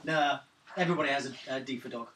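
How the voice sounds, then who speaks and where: A man's voice: mid-range voice, rapid-fire, a bit bright. A man playing a tabletop game and bantering casually in a joking, conversational way. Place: room, recorded around a gaming table. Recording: roomy, distant mic, faint noise and clicks.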